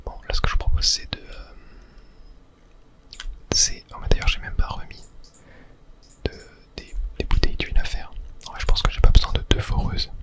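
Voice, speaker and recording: Someone whispering softly and breathily, thinking aloud in a casual ASMR gameplay commentary. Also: moderate pace, long pauses. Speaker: video game streamer narrating play.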